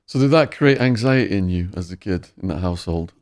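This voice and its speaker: Calm host asking questions. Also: male voice, deep voice, a little fast. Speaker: podcast host. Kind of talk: interviewing.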